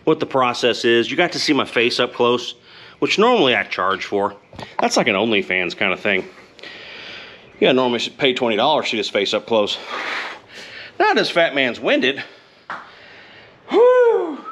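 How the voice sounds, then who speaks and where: Lively, joking man vlogging in his garage. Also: medium pitch, slight background noise. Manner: joking, self-deprecating, chatty. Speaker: motorcycle vlogger. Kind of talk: casual garage vlog. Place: home garage with open door. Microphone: camera close up, sometimes set aside.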